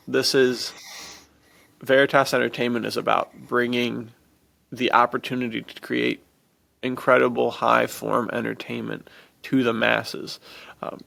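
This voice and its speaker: A man hosting a podcast in casual chat, informal and enthusiastic, with a gravelly, fry-heavy voice. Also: mid-range voice, fairly bright tone. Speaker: podcast host.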